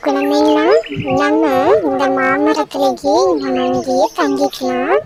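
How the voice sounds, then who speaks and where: A protective mother bird soothes her chicks in a high, gentle voice, voiced by a woman. She sounds a little far from the mic, with light background noise. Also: level tone, measured pace. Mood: reassuring. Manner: soothing, reassuring. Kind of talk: cartoon voice acting.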